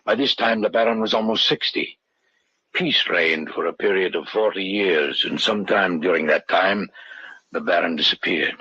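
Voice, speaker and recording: An actor playing a grave older villager who tells a legend in solemn, formal, measured speech, in an old radio drama. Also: male voice, low-pitched, bright, forceful tone, breathy edge, heavily acted. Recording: dull, noisy old radio recording.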